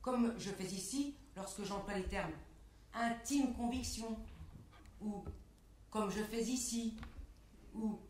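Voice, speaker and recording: Woman, performance lecture, very slow measured delivery, careful enunciation, strongly reverberant distant recording. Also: a little high, darkish tone, slight background noise. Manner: measured, enunciating, sets phrases apart as quotations. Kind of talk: scripted, deliberate spoken exposé on stage. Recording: echoey room, picked up from afar.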